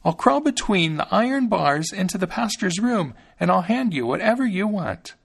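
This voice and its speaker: Tiny mischievous boy, piercing high pitch, bold. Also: sing-song, normal speed, creaky, husky, full character voice. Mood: eager. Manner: squeaky, shouting. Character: tiny boy Thumbling, cheeky and shrill.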